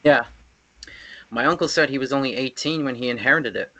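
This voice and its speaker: A man's voice: mid-range voice, a little fast, sharp tone. A man acting a teasing, sceptical teenage boy in a casual way at a script table read. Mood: mocking.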